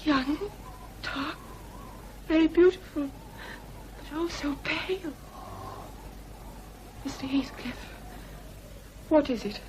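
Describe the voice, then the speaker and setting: A young gentlewoman held captive pleads earnestly. Her voice is high. It is a radio drama with faint hiss. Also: steady tone, slow pace, pauses often. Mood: frightened.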